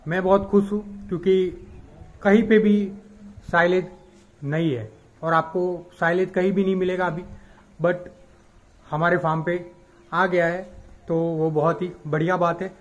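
High-voiced young man vlogging outdoors. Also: slight background noise, rough recording. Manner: chatty, explains with many pauses. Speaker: dairy farmer and vlogger. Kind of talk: casual farm vlog. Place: outdoors at an open cattle shed on a farm. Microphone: phone at arm's length.

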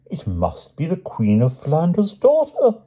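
A man's voice: on the high side, wildly swooping pitch, unhurried, husky, lo-fi sound, pitched above the performer's own voice, exaggerated voice. A man voicing an uneasy, exasperated old-fashioned knight in a storybook, exclaiming and fretful. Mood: astonished.

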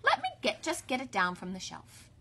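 A woman voicing a stern, rule-minded female head librarian with a brisk, firm, commanding manner in a storybook. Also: mid-range voice, huge pitch swings, fairly bright tone, exaggerated voice. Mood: measured approval.

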